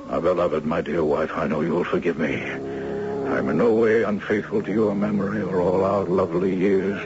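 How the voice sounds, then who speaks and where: An actor playing an elderly retired judge, courtly and wistful, with florid old-fashioned phrasing in a radio drama. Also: male voice, on the low side, background noise. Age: older. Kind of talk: 1970s radio drama acting. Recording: dull lo-fi 1970s radio recording.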